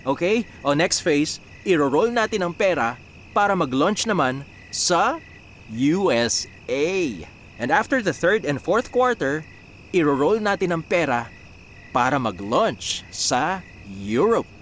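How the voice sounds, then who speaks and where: An actor with a Filipino accent playing a confident, driven business strategist in a radio drama, explaining things and mixing in English business phrases. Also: male voice, fairly high pitch, very animated, takes it slowly, fairly bright tone, slight background noise, full character voice. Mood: patient, explanatory. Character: confident, driven young male business strategist pitching a plan.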